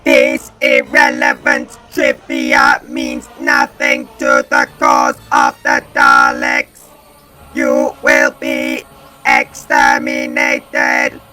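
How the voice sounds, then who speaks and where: An actor voicing a cold, ranting alien war machine commander through harsh robotic modulation, in staccato shouts for a sci-fi audio drama. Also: high-pitched, wildly swooping pitch, soft background music, heavily acted, poor audio. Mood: menacing fury. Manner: slow, staccato, shouted delivery.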